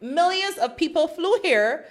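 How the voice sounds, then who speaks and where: A woman from Barbados with a Barbadian accent, co-hosting a podcast and telling a story with lively laughter. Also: very high voice, unhurried. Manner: animated storytelling, laughs often. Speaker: podcast co-host. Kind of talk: casual chat.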